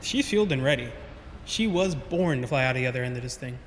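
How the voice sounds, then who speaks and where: A man's voice: mid-range voice, animated, quick pace, natural voice. A man playing an eager, impatient, cheeky scientist in an audio drama, with a pushy, jokey delivery. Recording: long reverb, faint background noise.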